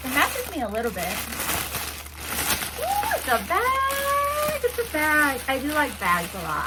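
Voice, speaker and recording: A woman's voice: on the high side, very noisy. A woman chatting casually to camera as she unboxes a gift box, bubbly and giggly, seated on a bouncy ball at home. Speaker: vlogger unboxing a swap box.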